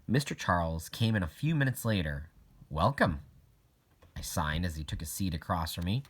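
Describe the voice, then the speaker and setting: A man's voice: sits low, animated, a little fast, slightly rough. A man reading a children's novel aloud as a first-person narrator. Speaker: audiobook reader.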